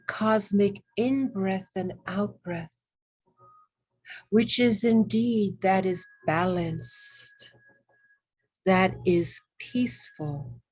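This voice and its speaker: A woman with a mid-pitched voice leads a guided meditation. She speaks extremely slowly and gently, setting down short phrases with long pauses between them. Her delivery is soothing and reverent, in an ASMR-like meditative style. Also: husky, rough recording.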